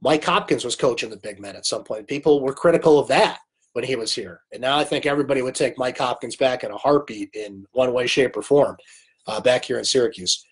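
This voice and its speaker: A man's voice: medium pitch, fairly quick, slightly rough. A man hosting a live sports recap stream, casual and opinionated, answering viewer comments while losing his voice to a cold. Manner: chatty, opinionated, reads viewer comments aloud.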